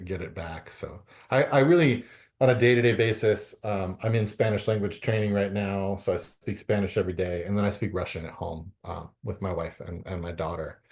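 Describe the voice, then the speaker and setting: A man's voice: sits low, a little fast, gravelly, darkish tone. A middle-aged man from Canada answering interview questions, with many fillers and restarts. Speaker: guest author.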